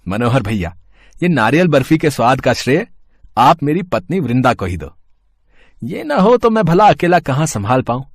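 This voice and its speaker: A man's voice: medium pitch, very animated, normal speed, no echo. Man playing a humble, caring husband, close dry sound. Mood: earnest. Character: hardworking, caring husband, humble sweet seller.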